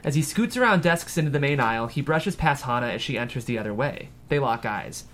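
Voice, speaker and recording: A man's voice: medium pitch, lively intonation, brisk, fairly bright tone, slight background noise. A man narrating a screenplay, reading stage directions aloud at a table read.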